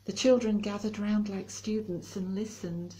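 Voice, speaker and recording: A woman reciting poetry in a slow, measured delivery as a reader. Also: mid-range voice, fairly even intonation, warm tone, low-quality recording. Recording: faint background noise and ambience.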